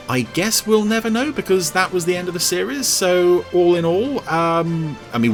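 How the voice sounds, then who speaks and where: A man with a mid-pitched, very expressive voice gives a running review. He rambles with enthusiasm, and music plays clearly behind him. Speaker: podcast reviewer. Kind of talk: commentary over cartoon clips.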